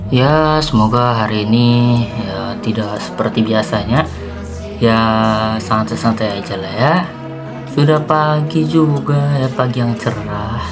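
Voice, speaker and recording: Man acting, young zombie survivor, game roleplay, weary, jumpy, self-muttering, exclaiming, slangy, medium pitch, background music. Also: unhurried, close to an everyday voice. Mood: calm, sleepy. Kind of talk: improvised Minecraft roleplay, talking to himself.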